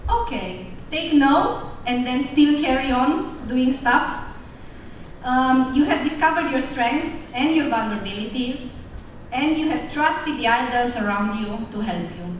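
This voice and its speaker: A woman with a Romanian accent speaks a little high, addressing graduating students with jokes and a direct tone. She sounds far from the mic, and her voice rings through a large, echoey hall. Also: lively intonation, unhurried, slight background noise. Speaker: teacher. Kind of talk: graduation speech.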